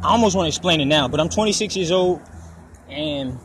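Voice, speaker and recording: A man's voice: a little high, fairly quick. A man in his twenties talking casually for his podcast while walking outdoors by a road, rambling and swearing freely. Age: 20s. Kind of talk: casual personal monologue. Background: passing traffic.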